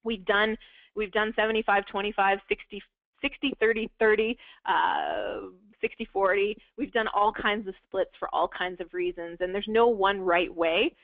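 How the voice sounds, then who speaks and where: A woman's voice: medium pitch, fairly even intonation, normal speed, no room sound, narrow, tinny audio. Lady running a real estate webinar, voice going a bit, lots of ums, thin phone-ish sound, dry. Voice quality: talks at length with many fillers and restarts. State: losing her voice. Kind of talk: live webinar teaching.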